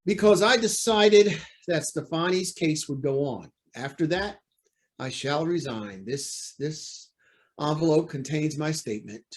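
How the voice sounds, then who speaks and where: Radio drama judge, acted by a man: proud, indignant, formal, fairly high voice with lively swings. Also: unhurried. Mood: indignant, agitated. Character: proud, indignant male judge under pressure. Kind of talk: old-time radio drama acting.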